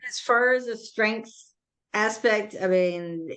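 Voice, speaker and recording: A woman hosting an interview, asking long questions full of fillers and pauses. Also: mid-range voice, a bit bright, clean tone. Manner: halting questions with many fillers. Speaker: interviewer, summit host. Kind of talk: conversational interview.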